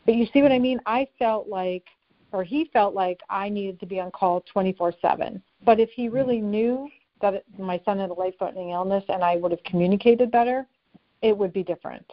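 A middle-aged woman talking as a guest in a casual interview, energetic and quick to restart her sentences. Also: medium pitch. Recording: dull lo-fi recording.

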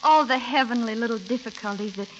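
An actress playing a tender, hopeful young bride in a 1940s radio comedy, earnest and consoling in manner. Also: female voice, on the high side, fast talker, close to an everyday voice. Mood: romantic. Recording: muffled, noisy vintage radio recording.

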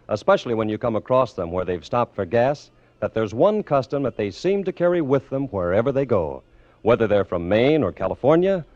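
A dull, band-limited old radio recording of an American man announcing. His pitch moves expressively through polished, measured scripted lines, in the manner of a 1950s show presenter. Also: darkish tone, no room sound.

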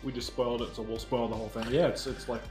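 A man's voice: mid-range voice, level tone, fast talker, darkish tone, faint music behind. Dryly teasing man in casual banter. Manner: dry, teasing, sarcastic. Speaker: podcast co-host.

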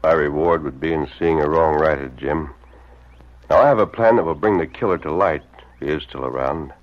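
Masked do-gooder: American, profoundly low, measured and righteous, dull vintage radio drama sound. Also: male voice. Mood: resolute. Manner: measured, formal, resolute. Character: noble, steady masked western lawman-like hero. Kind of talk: old-time radio western acting. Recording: dull old radio recording.

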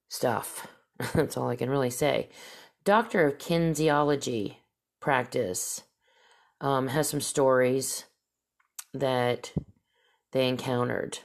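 A woman hosting a talk show, whose stuffy, nasal voice rambles casually through stories and asides. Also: very deep voice. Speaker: podcast host. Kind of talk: casual storytelling monologue.

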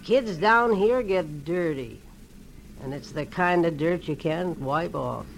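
Cagey old rooming-house crone. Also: female voice, lowish voice, expressive, slow pace, gravelly, slight background noise, heavily acted, rough recording. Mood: wistful, knowing. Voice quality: slurred through missing front teeth. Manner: folksy, sly, slangy. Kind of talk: 1940s radio character acting.

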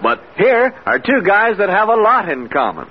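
A man's voice: high-pitched, sing-song, moderate pace, slightly rough. A man with an American accent doing scripted vintage radio announcing. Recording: muffled noisy radio, audience behind.

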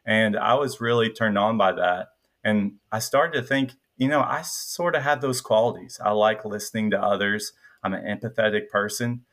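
A man in his twenties with a light Tennessee Southern twang, a guest telling his story in a relaxed, reflective way with frequent fillers. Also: lowish voice, moderate pace. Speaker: guest, incoming psychiatry resident. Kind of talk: casual interview chat.

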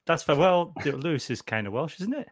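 A man's voice: fairly high pitch, huge pitch swings, measured pace, noisy background. A middle-aged man hosting a casual podcast, jokey and chatty, often restarting his sentences. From Scotland. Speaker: podcast host.

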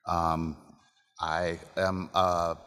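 Man, very low voice, halting, lots of ums, long reverb. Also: level tone, clear, resonant tone. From Illinois, USA. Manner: halting, with many ums and uhs, trailing off softly. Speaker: distillery co-owner, guest. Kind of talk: casual interview answers. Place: distillery building interior.

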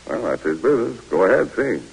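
An actor playing a brisk army lieutenant who gives firm, clipped orders, in 1940s radio drama style. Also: male voice, fairly low pitch, sing-song, creaky, slight background noise, rough recording. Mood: stern.

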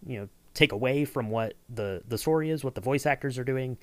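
A man's voice: medium pitch, fairly quick, no echo. A man hosting a casual behind-the-scenes podcast, talking in a loose, hesitant, rambling way. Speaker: podcast host.

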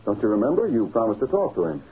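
Brash, husky newspaper reporter. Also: male voice, lowish voice, huge pitch swings, quick pace, exaggerated voice. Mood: breezy, cocky. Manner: breezy, pushy. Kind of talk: 1940s radio acting. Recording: dull old radio recording.

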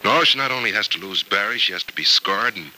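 A man acting a somber, grieving young man in an old-time radio soap opera, earnest and deliberate. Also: on the low side, lively intonation, sharp tone. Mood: bitter, grieving. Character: somber young man grieving over an injured former fiancée. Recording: noisy vintage radio drama recording.

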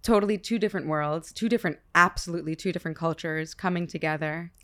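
A woman's voice: on the low side, fast talker. A woman in her thirties hosting an interview, musing aloud in a casual way and stammering a little as she begins her thoughts. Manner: warm-hearted, musing, stammers at starts. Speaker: podcast host.

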